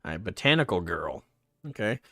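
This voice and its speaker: A man's voice: deep voice, sing-song, a little fast, a bit bright, dry recording, clean studio sound, soft background music. A man streaming casually, reading card text aloud and drifting into rambling personal stories. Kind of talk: casual live stream chat.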